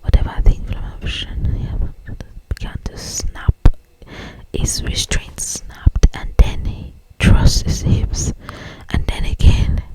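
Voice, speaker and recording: An ASMR host reading romance fiction aloud in a breathy, intimate whisper, with drawn-out phrasing. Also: rough recording.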